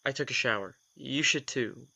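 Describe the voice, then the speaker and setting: A prickly young vampire. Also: male voice, medium pitch, gravelly, sharp tone, husky, exaggerated voice. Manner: terse, prickly, quick to snap. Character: reluctant young male vampire, gruff, defensive. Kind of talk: audio drama acting.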